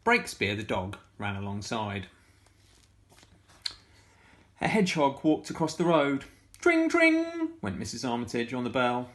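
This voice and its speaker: A man reading a picture book aloud to schoolchildren, animated and full of sound effects. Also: medium pitch, fairly quick. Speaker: storytime reader for schoolchildren.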